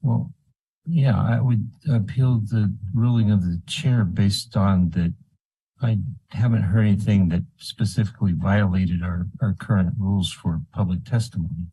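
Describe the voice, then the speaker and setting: A man with a husky voice, a board member formally raising an objection in a meeting. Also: lowish voice, steady tone, a little slow, warm tone, dry recording, low-quality recording.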